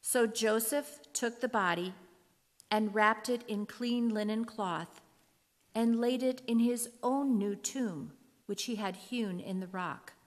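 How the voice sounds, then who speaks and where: Woman reading bible narration, slow and solemn, kinda high voice, long hall echo. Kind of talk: solemn scripted scripture narration. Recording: echoey hall with long reverb.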